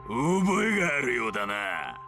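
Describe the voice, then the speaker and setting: An actor playing an angry, accusing yakuza underling, gravelly and threatening, in a game cutscene. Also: male voice, medium pitch, wildly swooping pitch, unhurried, bright, forceful tone, faint music behind, heavily acted. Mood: menacing. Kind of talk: dramatic game cutscene acting.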